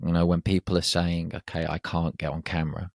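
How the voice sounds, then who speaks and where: A man hosting a podcast in a very deep voice with a gravelly fry. He sounds relaxed and conversational, and his voice comes across dry and close. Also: a little fast, dry recording. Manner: relaxed, frequent short agreements. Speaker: podcast host. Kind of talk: casual interview conversation.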